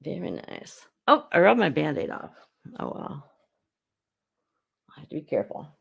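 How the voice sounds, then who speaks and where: A middle-aged woman who is hoarse while getting over an illness, chatting casually as she hosts a live skincare demonstration at home. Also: low voice, huge pitch swings, moderate pace. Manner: chatty and rambling, with frequent restarts. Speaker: beauty consultant and show host.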